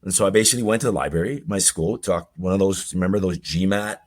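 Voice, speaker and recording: Low-voiced man telling his story. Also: quick pace. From Colombia. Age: 40s. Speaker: podcast guest. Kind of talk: personal storytelling in an interview.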